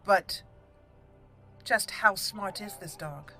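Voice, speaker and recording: A woman's voice: mid-range voice, slow pace, bright, forceful tone, soft background music. A woman roleplaying her own game character, a young elf noblewoman, in a polite, formal way. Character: proper young elf woman raised among dwarves.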